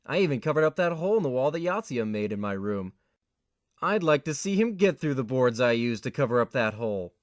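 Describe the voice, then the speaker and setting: A man's voice: medium pitch, big pitch swings, brisk, no echo, clean studio sound, lightly acted. A man playing an earnest, put-upon young tenant in an amateur audio drama, with a hesitant manner. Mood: awkward. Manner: hesitant, stammering when flustered.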